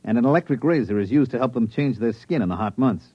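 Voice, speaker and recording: An actor playing a wry, skeptical planetary surveyor in a radio drama, narrating and talking in a dry, conversational way. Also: male voice, medium pitch, animated, fast, dry recording, lightly acted. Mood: wry, grumbling. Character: wry, skeptical male planetary surveyor telling his misadventure. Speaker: narrator and lead character. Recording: muffled old radio drama recording.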